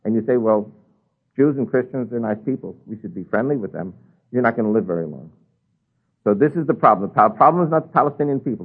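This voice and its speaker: A man's voice: fairly low pitch, husky, phone-quality sound. An older man with an American accent, a native Spanish speaker, preaching a sermon and telling his own story, with frequent ums and uhs. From United States.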